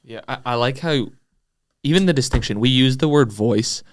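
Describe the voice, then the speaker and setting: A man's voice: mid-range voice, moderate pace, dry recording. A man talking casually and conversationally as a podcast co-host, close to the microphone. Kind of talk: casual banter on a church podcast.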